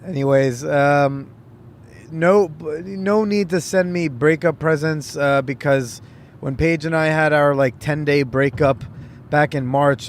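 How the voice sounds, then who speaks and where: Give me a male comedian hosting his own podcast, chatty and halting, mid-pitched voice. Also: a little hiss or hum. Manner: rambling, halting, with many fillers and restarts. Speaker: podcast host. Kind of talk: casual solo monologue.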